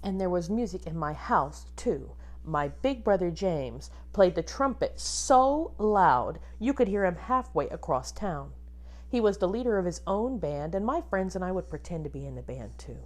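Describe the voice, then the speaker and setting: A woman teacher reading a picture book aloud to children expressively, pausing to ask them questions and point things out. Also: on the low side. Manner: animated, addresses young children with questions.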